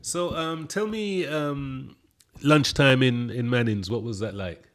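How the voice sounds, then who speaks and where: A man's voice: medium pitch, slow. A middle-aged man, hosting a podcast with casual questions and chat. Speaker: podcast host.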